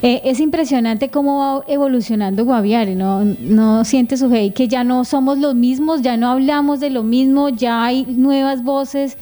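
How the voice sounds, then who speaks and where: Mellow, higher-voiced Colombian woman. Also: a little slow, few pauses. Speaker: radio co-host. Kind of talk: casual, reflective radio chat.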